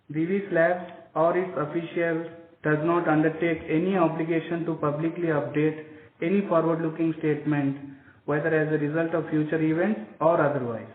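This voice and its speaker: Over a tinny, echoing phone line, an Indian man reads a formal corporate script. His voice sits a little high for a man. He speaks as a company officer on an earnings call.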